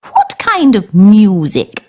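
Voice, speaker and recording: A woman's voice: medium pitch, huge pitch swings, slow pace, darkish tone, breathy edge, exaggerated voice. An actress with a British accent playing a posh, snooty, gushing fairy queen in a children's cartoon. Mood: gracious, gushing. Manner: posh, effusive.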